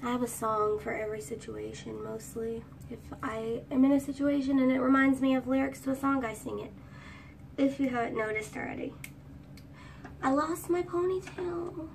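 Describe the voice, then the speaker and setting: A young woman in her twenties with a high, girlish voice, chatting and rambling while doing her hair for a vlog, recorded on a phone at arm's length in a small room. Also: fairly even intonation, moderate pace, gravelly, slight background noise. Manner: rambling, sings snatches of songs. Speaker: vlogger. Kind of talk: chatty get-ready-with-me storytime. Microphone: phone camera at arm's length. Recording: distant phone mic, faint room ambience.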